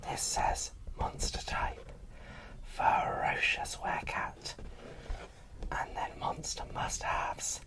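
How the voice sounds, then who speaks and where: An ASMR host speaking in a breathy whisper, giving casual unboxing commentary slowly and softly. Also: recorded from a distance. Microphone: microphone set off to one side.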